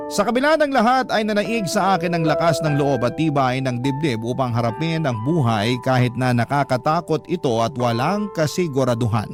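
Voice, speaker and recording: Man narrating a letter over music. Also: medium pitch. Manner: calm, measured, first-person storytelling. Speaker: narrator reading a listener's letter. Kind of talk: scripted radio narration. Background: background music.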